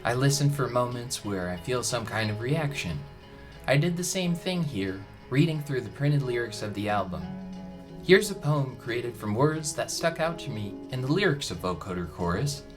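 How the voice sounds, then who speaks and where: A man giving a reflective, measured scripted narration, as a musician talking about his own work. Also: medium pitch, big pitch swings. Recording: ambient music behind the voice. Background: ambient background music.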